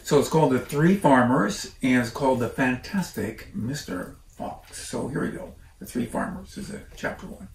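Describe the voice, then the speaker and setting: An older man reads a children's book aloud in a casual, unhurried way, breaking off for chatty asides. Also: medium pitch, expressive. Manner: informal, unhurried, commenting as he reads. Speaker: storyteller reading a children's book. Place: living room by a stone fireplace. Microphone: camera a few feet away. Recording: roomy, distant mic, faint noise.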